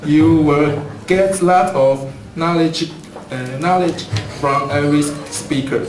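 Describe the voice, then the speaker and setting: A man with a Taiwanese accent co-hosts with careful, measured speech at an unhurried pace. His voice sits a touch high. He sounds distant in a roomy space, with a crowd audible behind him. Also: noisy background. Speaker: co-host of a student English corner. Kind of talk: scripted event hosting. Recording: distant, echoey room with crowd.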